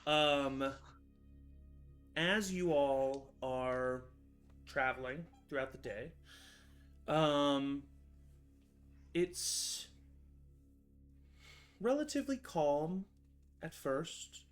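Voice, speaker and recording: A man's voice: on the high side, lively intonation, soft background music. A young man narrating a tabletop game as game master, hesitant and full of fillers. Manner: halting, many fillers and restarts.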